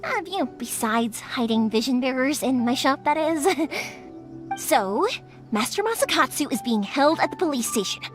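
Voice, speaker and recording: A woman's voice: sits high, animated, moderate pace, soft background music. A young woman voicing a cheerful, playful fireworks shop owner in a video game dub, bubbly and giggly with folksy phrasing.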